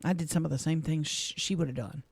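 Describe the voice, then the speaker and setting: A middle-aged woman from Louisiana, a radio co-host, speaking softly and with emotion as she reads a personal letter on air in a studio. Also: low voice, fast talker, creaky, breathy edge, dry recording. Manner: heartfelt, soft-spoken, emotional.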